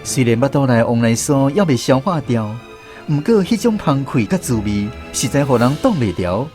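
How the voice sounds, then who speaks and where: A man's voice: mid-range voice, animated, moderate pace, darkish tone, faint music behind, close to an everyday voice. A man with a Taiwanese accent narrating a radio drama as a reminiscing storyteller. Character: reminiscing male storyteller of a touring troupe.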